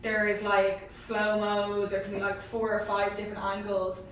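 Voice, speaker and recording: A woman talks casually as part of a sports panel. Her voice has an average pitch and sits back from the microphone in a very echoey room, with faint noise. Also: completely flat delivery, normal speed, a bit bright, distant mic. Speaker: panellist on a sports discussion show.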